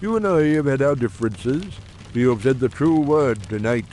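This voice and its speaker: A man's voice: mid-range voice, animated, normal speed, darkish tone, pitched below the performer's own voice, lightly acted. Earnest conspirator played by a man, noisy backdrop. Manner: earnest, persuasive, formal speechmaking. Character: earnest, shrewd, confident lodge brother in coal-town secret society. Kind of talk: audiobook dramatic reading.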